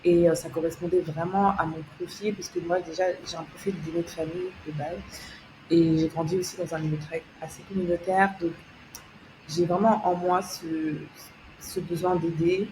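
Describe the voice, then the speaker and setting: A woman's voice: lowish voice, little pitch movement, moderate pace, noisy background. A young woman from France, a guest telling her story in an interview, hesitant, with many fillers and restarts, laughing easily. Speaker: guest, tech founder and consultant.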